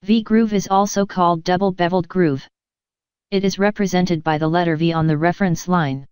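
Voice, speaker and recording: A woman giving a measured, even, scripted narration as an instructor, explaining technical material step by step. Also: on the low side, smooth, no echo.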